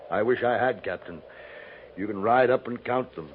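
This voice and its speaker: Actor as gentle frontier priest, old tinny radio. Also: male voice, mid-range voice, measured pace, natural voice. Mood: calm, assured. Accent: American. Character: gentle, steadfast Jesuit missionary priest on the frontier. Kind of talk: 1950s radio drama acting. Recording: tinny, noisy 1950s radio recording.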